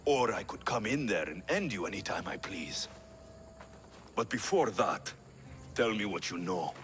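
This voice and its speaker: An actor playing a bitter, menacing young warrior in a video game, proud and wounded, with an intense, taunting delivery. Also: male voice, fairly low pitch, animated, unhurried, a bit bright, slight background noise. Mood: cold threat. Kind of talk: video game cutscene acting.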